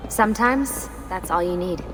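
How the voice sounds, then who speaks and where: A woman's voice: lowish voice, lively intonation, moderate pace, background noise, lightly acted. A woman playing a serious, determined young assassin in a video game, speaking in an earnest, matter-of-fact way with urgency. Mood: persuasive. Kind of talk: naturalistic video game acting. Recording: long hall reverb, clear music underneath.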